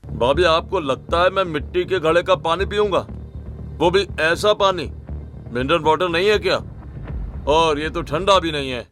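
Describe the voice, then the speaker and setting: A man acts out a gluttonous, entitled son-in-law from a cartoon. He complains and demands in a fairly high male voice, with music behind him. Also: moderate pace, fairly bright tone, close to an everyday voice. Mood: entitled, complaining.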